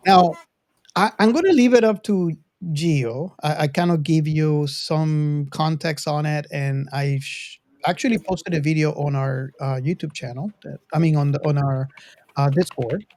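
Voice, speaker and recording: A man hosting a podcast in a casual, chatty style, talking in a halting, meandering way with emphatic sentence openings. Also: a little high, darkish tone, no echo. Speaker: podcast host. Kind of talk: casual hobby chat.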